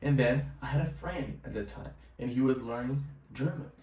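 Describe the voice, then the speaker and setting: A man's voice: medium pitch, normal speed, darkish tone. A young man from Brazil, a native Portuguese speaker with a Brazilian accent, casually telling his personal story as a language teacher in a vlog. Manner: casual, conversational, with filler phrases. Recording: roomy echo, mic at a distance.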